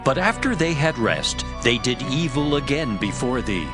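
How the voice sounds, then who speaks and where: A man plays a solemn Levite confessing in prayer in a dramatized scripture reading. His mid-pitched voice moves widely up and down in a formal, declamatory delivery, with prominent music underneath. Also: big pitch swings, normal speed, close to an everyday voice.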